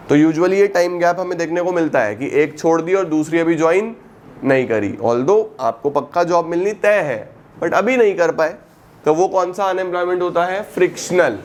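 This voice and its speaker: A man teaching an economics class. He keeps asking the students questions and answers them himself, mixes Hindi with English terms, stretches out key words slowly and calls his students "beta". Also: on the high side, slight background noise. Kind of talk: recorded classroom lecture.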